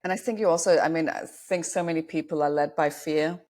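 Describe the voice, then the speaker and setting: A woman's voice: low-pitched, steady tone, fast, a little mellow, slight background noise. A woman in her forties from Denmark, a guest on a podcast talking about her life as a dating coach, reflective and self-deprecating. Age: 40s. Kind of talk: podcast interview.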